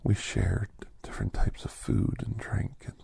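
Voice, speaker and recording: A man voicing a kindly, reflective older doctor in soft, half-whispered ASMR storytelling, with breathy, halting short phrases. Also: lowish voice, little pitch movement, dry recording, full character voice. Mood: hushed, reflective. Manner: unhurried, pausing between short phrases. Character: older doctor recounting his gentle alien encounters, reflective, kindly.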